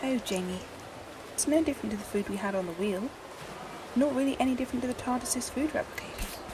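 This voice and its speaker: A woman's voice: a little high, fast talker, close to an everyday voice. A woman playing a polite, curious young systems geek with a precise, eager manner in an audio drama. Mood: gently complaining. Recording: noisy background.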